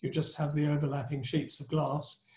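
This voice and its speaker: Man, video call, measured admiring panel talk, mellow tone, echoey room. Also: a little high, little pitch movement. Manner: measured, detail-focused, admiring. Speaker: panel judge.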